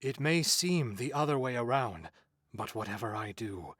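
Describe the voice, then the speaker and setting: A man voicing a tormented, lovesick cursed man in a fantasy visual novel, brooding and theatrical, with halting intensity and a breathy, husky voice. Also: mid-range voice, lively intonation, a bit bright. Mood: solemn, resolute. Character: tormented cursed man, lovesick, fearing his own bloodlust. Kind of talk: dramatic visual novel monologue.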